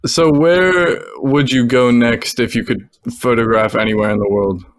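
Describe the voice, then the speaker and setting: A man's voice: mid-range voice, fairly even intonation, unhurried, no echo, clean studio sound. A man from the United States hosting a history podcast, asking a guest questions with curiosity and frequent laughter, over a call. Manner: curious, friendly, laughs often. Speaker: podcast host. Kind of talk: interviewing a guest.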